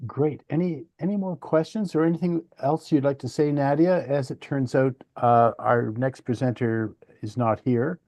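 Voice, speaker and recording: Male chair, gravelly low voice, dry sound, reads motions formally. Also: fairly even intonation, normal speed, hardly any pauses, a little mellow, no room sound. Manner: procedural, casual asides, reads motions formally. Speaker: chair of a council meeting. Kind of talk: chairing a formal municipal meeting.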